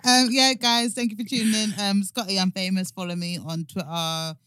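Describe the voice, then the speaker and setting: A woman's voice: medium pitch, fairly even intonation, brisk, sharp tone, clean tone, dry recording. A young woman talking casually as a podcast guest, laid-back and giggly.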